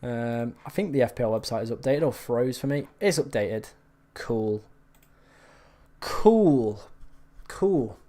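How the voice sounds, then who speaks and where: A man on a live stream, talking casually and answering viewers as their comments come in. His voice is a touch hoarse after hours on air, and it sits at an ordinary middle pitch for a man. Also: lively intonation, slow, pauses often, warm tone. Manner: chatty, reacting to viewer comments. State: slightly hoarse from long talking.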